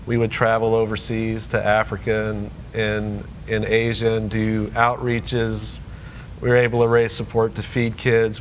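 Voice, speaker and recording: A man's voice: fairly low pitch, a little hiss or hum. A man from Hong Kong, a tour producer, chatting easily as an interview guest, joking and chuckling often. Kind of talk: relaxed conversational interview.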